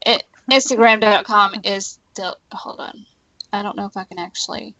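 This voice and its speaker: A woman's voice: medium pitch, steady tone, moderate pace, vocal fry, sharp tone, no echo, poor audio. A woman co-hosting a live show in loose, casual conversation. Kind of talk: casual chat.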